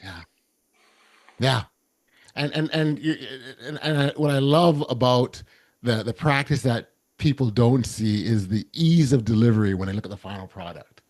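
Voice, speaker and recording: A middle-aged man with a loud voice hosting a podcast, talking earnestly with frequent restarts and repeated words. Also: medium pitch, normal speed. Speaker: podcast host. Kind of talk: casual interview chat.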